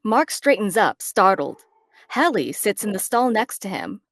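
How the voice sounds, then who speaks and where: Animated woman narrator, dry close sound. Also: medium pitch, a little slow, dry recording. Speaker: narrator reading stage directions. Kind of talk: scripted narration at a table read.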